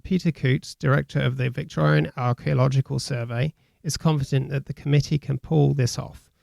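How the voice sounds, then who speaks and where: A man's voice: medium pitch, fairly even intonation, normal speed, vocal fry, darkish tone, dry recording, studio quality. A man reading scripted quotes from a newspaper article.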